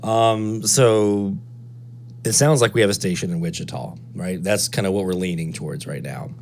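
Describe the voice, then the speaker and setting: A man with a low voice presents a casual hands-on tutorial. He thinks aloud as he works through each step. A faint hum sits behind his voice. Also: normal speed, creaky, a little mellow. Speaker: presenter of a radio DXing tutorial. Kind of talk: casual screen-walkthrough tutorial.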